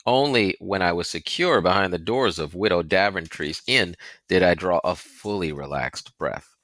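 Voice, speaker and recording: A man's voice: deep voice, moderate pace, fairly bright tone, no echo. A man reading a novel aloud as a dramatic, scripted narration. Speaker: audiobook reader.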